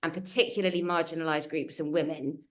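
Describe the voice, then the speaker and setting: A woman's voice: deep voice, steady tone, fast talker. A woman speaking as a panelist on a webinar over a video call, earnest and emphatic, building her points in lists. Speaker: panelist from a culture change consultancy.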